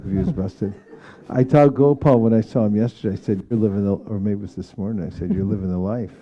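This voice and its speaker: A man speaking as a spiritual teacher in an informal devotional discussion, reflective and halting in his phrasing. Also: lowish voice, dull audio. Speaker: spiritual teacher leading a scripture class.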